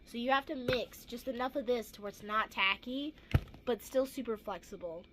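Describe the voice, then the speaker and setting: A teenage girl with a hoarse, gravelly voice, chatting casually and rambling through a crafting vlog with self-deprecating asides. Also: fairly high pitch, moderate pace, background noise. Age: teens. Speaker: craft vlogger.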